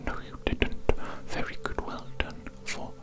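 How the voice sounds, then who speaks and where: A person whispering in a breathy, unvoiced voice, playing a doctor in an ASMR hearing-test roleplay with coaxing, repetitive patter. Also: measured pace.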